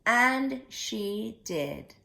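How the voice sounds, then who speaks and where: A woman reading a storybook aloud to young children, deliberate, pausing to put questions to her listeners. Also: fairly high pitch, expressive, gravelly, pushed and bright.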